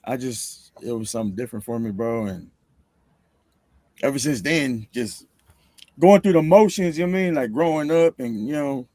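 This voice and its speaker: A man's voice: mid-range voice, normal speed, warm tone, no room sound. A man in his thirties chatting casually and telling stories as a podcast guest, swearing freely and using lots of slang. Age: 30s. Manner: slangy, swearing, peppers talk with "bro" and "you know". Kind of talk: casual chat.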